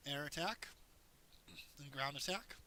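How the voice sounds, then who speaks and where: A man with a hoarse voice narrates his own strategy game match, walking through tips in a loose, rambling way. His tone is bright and forward, with a light hiss under the recording. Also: a little high, measured pace. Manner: explains as he plays, loose and rambling. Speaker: gameplay commentator. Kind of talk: casual game tutorial commentary.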